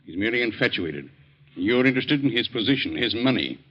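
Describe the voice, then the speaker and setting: A man's voice: mid-range voice, big pitch swings, fairly quick, husky. A man playing a stern, class-proud middle-aged father and doctor in a 1940s radio drama, cold and imperious. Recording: dull, noisy old radio recording.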